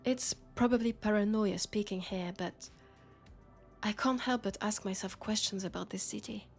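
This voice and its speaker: A woman's voice: mid-range voice, moderate pace, vocal fry, soft background music, lightly acted. A woman with a French accent playing a worried, disillusioned policewoman in an intimate sci-fi roleplay, confiding in a hesitant way. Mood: troubled, uneasy. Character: worried, disillusioned young policewoman in a dystopian city. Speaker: roleplay audio performer.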